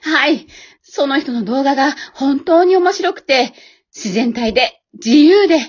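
An amateur actress playing a modest, earnest housewife YouTuber in a radio drama, speaking politely and hesitantly. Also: female voice, high voice, animated, a little slow, lo-fi sound. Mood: grateful.

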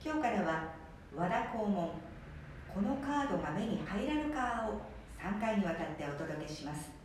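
A woman's voice: lively intonation, normal speed. Woman radio host in a very echoey room. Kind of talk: scripted show introduction. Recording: echoey room, distant mic, faint rumble.

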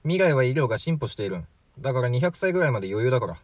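A man's voice: medium pitch, big pitch swings, racing, darkish tone, dry recording. A man playing a chatty, flippant 43-year-old from the future in a radio drama, glib and matter-of-fact. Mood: breezy, teasing. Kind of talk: amateur radio drama acting.

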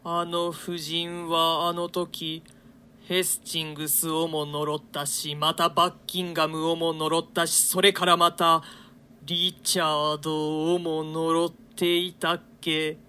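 Woman as a grave condemned nobleman, slow and declamatory. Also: low-pitched, little pitch movement, bright, forceful tone, clear, resonant tone, heavily acted. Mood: solemn, imploring. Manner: declamatory, solemn. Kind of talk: theatrical reading of archaic translated Shakespeare.

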